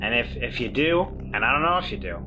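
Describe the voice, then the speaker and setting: A man's voice: mid-range voice, animated, fast, background noise. A young man playing a tabletop game, speaking boldly and defiantly in character. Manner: bold, defiant and talky. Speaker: player.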